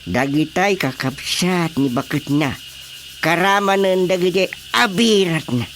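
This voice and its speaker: An actor playing an emphatic neighbour who airs family troubles with drawn-out delivery in a radio drama. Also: medium pitch, a bit bright, close to an everyday voice. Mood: lamenting. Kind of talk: Ilocano radio drama acting. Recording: faint background noise with crickets.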